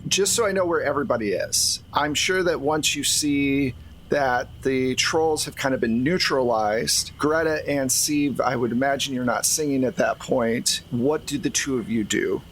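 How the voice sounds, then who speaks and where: A man's voice: medium pitch, moderate pace, fairly bright tone. A man acting as game master, narrating scenes and explaining rules to the players as he checks in with them. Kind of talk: narrating and refereeing a tabletop game. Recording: clearly noisy background.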